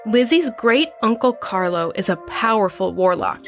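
A woman podcast host reading scripted promotional narration in a clear announcing style. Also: medium pitch, expressive, normal speed, faint music behind.

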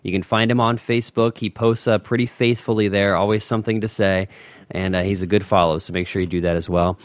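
A man's voice: lowish voice, steady tone, fairly quick, no echo, clean studio sound. A man hosting a casual podcast interview, polite and appreciative.

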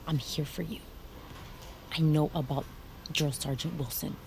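A woman playing a young Army recruit quietly confronting a rival in an audio drama. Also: low voice, steady tone, a little slow, creaky. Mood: hushed, insistent. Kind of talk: naturalistic audio drama acting. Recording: noisy ambience around the voice.

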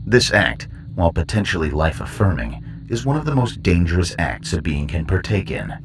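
A man narrating a scripted horror report in a formal, measured manner. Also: sits low, big pitch swings, noisy background. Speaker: narrator and host.

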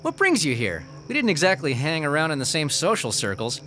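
A smug, breezy ex-bully in a radio horror drama. His voice is bright and fairly high, with lively swings and an evasive edge. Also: brisk, faint music behind, exaggerated voice. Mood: surprised, breezy. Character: smug former high school bully turned uneasy.

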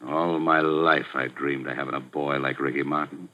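An actor playing a ruthless middle-aged gambling boss, talking tough street slang in a slow, menacing drawl, in 1940s radio acting. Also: male voice, rumbling low, flat delivery. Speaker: gambling house owner. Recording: muffled 1940s radio broadcast.

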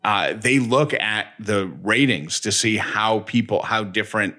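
A man's voice: lowish voice, unhurried, pushed and bright. A man co-hosting a podcast in casual, conversational banter. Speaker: podcast co-host.

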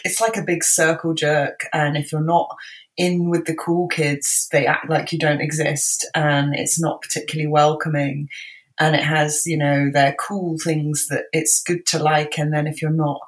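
A young woman from England, a musician guest talking candidly and bluntly in a casual interview, laughing often. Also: sits low.

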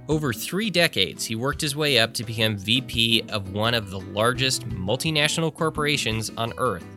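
An actor playing a thoughtful public radio documentary host, narrating in a measured, inquisitive storytelling style. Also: male voice, mid-range voice, animated, sharp tone, soft background music. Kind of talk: scripted narration.

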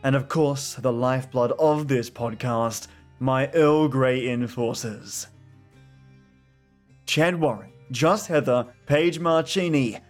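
A man's voice: mid-range voice, slow pace, faint music behind. A man hosting a story podcast, signing off in a chatty, grateful manner as he thanks his supporters. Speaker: podcast host. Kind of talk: casual podcast sign-off.

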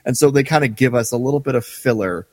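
Halting man chatting, reverb tail on voice. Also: medium pitch, a little mellow. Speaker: podcast co-host. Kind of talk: casual discussion of a radio drama.

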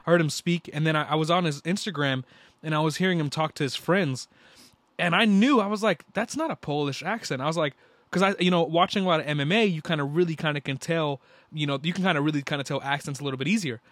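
A young man co-hosting a sports podcast, talking in an excitable, slangy way and repeating himself. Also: a little high, fast talker, no room sound. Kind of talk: casual basketball chat.

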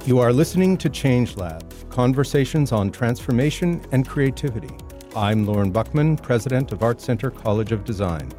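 A man hosting an interview podcast, reading a measured introduction and asking thoughtful questions. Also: medium pitch, lively intonation, dark tone, faint music behind. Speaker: podcast host.

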